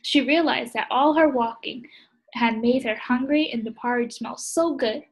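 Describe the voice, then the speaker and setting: A young adult woman with a slightly husky voice, reading a storybook aloud to young children as a preschool teacher, recorded on a laptop at home. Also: a little high, normal speed, poor audio. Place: small indoor room at home. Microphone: laptop video call.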